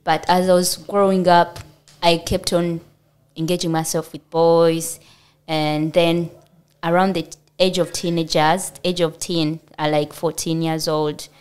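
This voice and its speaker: A Ugandan woman shares her life story as a podcast guest. She speaks slowly and hesitantly, filling pauses with "um". Her voice sits a little low and stays flat and even. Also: clear, resonant tone. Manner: hesitant, pauses with many fillers. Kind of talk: personal storytelling in an interview.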